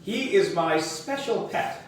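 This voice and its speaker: A man voicing a doting male school principal in a storybook, indulgent and animated, talking fondly as if to a pet. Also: high-pitched, slow pace, very echoey, distant mic, a little hiss or hum, pitched above the performer's own voice. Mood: explaining proudly.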